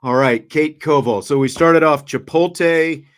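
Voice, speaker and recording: A man's voice: fairly high pitch, measured pace. A man, a radio announcer, conducting a friendly conversational interview and often restarting his questions.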